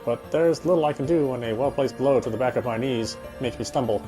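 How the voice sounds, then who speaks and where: A man's voice: medium pitch, brisk, over music, low-quality recording. A man streaming a game and reading its narration aloud, now and then stumbling over words.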